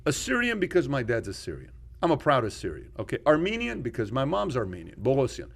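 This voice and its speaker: A man's voice: mid-range voice, wildly swooping pitch, fast, creaky. A man from Iran who later lived in Germany and America, hosting an opinionated podcast discussion with rhetorical questions and anecdotes. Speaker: podcast host.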